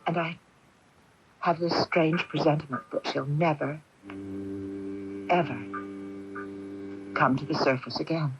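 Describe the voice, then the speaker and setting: A woman's voice: low-pitched, steady tone, measured pace, long pauses. Earnest woman full of foreboding, radio drama, dull broadcast sound, faint music behind. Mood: solemn foreboding.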